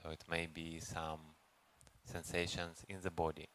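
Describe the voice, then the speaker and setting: A man leads a guided meditation as a teacher, speaking gently and unhurriedly with long pauses. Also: extremely low pitch, monotone. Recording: noisy recording with ambient background sound.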